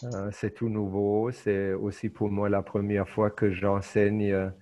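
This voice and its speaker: Slow unhurried german-native guy teaching over a video call, lots of ums, kinda low voice, muffled sound. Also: little pitch movement, mellow. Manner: hesitant, many filler pauses. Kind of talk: online lecture.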